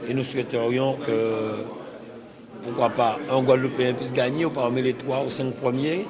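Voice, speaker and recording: Confident regional official, crowd behind. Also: male voice, mid-range voice, steady tone, moderate pace. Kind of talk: press statement. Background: crowd chatter.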